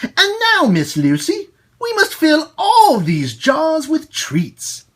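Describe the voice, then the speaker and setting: A man's voice: extremely high pitch, wildly swooping pitch, slow pace, a bit bright, voice pushed up, heavily acted. A man voicing a friendly, cheerful storekeeper in a children's storybook. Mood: cheerful, welcoming.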